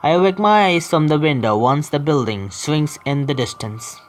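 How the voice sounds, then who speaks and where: A man hosting a gaming video, reading story text aloud haltingly with stumbles and adding casual asides. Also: a little high, animated. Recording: faint game music behind. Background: faint video game music.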